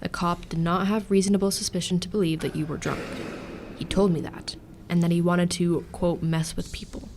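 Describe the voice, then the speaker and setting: A woman's voice: fairly low pitch, fairly even intonation, a little fast, background noise, lightly acted. A woman playing a businesslike defense lawyer, formal and precise, in an audio drama.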